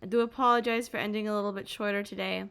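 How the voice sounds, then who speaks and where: A woman's voice: medium pitch, steady tone, rapid-fire, fairly bright tone, smooth. A young woman who sounds tired, chatting casually to viewers as a game streamer. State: sleepy, tired. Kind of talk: casual livestream chat.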